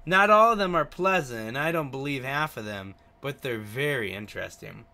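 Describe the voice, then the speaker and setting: Man reading as a polite, curious novelist, lively pitch. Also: moderate pace, vocal fry. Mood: intrigued. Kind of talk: reading visual novel dialogue aloud.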